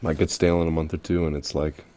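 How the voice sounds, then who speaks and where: Deep-voiced, hesitant young American lover. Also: male voice, little pitch movement, warm tone. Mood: hesitant, intimate. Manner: halting, intimate. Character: younger male secret lover, hesitant and tender. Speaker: actor in audio drama. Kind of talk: naturalistic noir audio drama dialogue.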